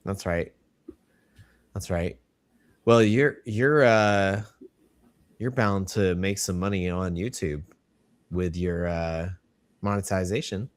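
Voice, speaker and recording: A man's voice: low-pitched, takes it slowly, long pauses. A man co-hosting a casual livestream chat, agreeable and encouraging toward his partner. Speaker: podcast co-host.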